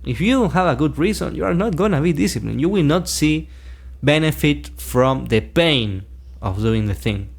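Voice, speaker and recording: Gravelly-voiced man musing on self-help. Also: a little high, animated, moderate pace. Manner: earnest, repeats key points for emphasis. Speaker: podcast host. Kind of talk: reflective self-help monologue.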